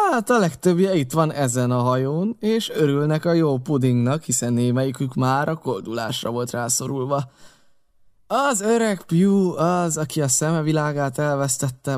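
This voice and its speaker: A sly, boastful old pirate cook, talking up his fortune in a wheedling, flattering tone, as a male reader puts him on. The voice sits a bit higher than the reader's own. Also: close to an everyday voice. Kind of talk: audiobook character reading.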